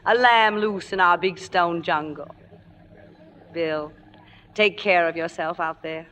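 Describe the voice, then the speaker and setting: A woman's voice: fairly low pitch, slow pace, a bit bright, a little hiss or hum. A woman playing a proud but insecure aging stage actress in old radio drama, speaking in a clipped, dramatic way. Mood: tender, insecure. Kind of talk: 1950s radio drama acting and narration.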